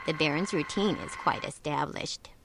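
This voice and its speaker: A woman playing a prim, unhelpful receptionist in a video game, formal and deadpan. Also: low-pitched, normal speed, creaky, noisy background. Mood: prim, dismissive. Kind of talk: video game cutscene acting.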